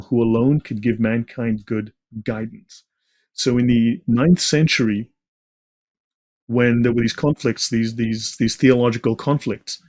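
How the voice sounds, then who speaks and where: A man's voice: mid-range voice, a little mellow. A man speaking as an interview guest, giving explanatory commentary in an assertive, didactic way with emphatic points. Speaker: interview guest, religion researcher.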